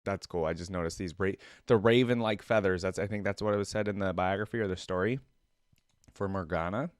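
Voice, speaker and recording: A man's voice: sits low, a little fast, dry recording, clean studio sound. A man hosting a reaction video, reading aloud and commenting casually.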